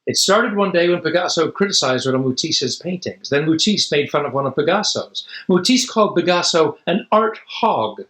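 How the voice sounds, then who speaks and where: A man with a slightly high voice reads a children's storybook aloud. He stresses and stretches key words for drama and drops into casual asides. The room around him is noticeably echoey. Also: animated, moderate pace, hardly any pauses, fairly bright tone. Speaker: storybook reader.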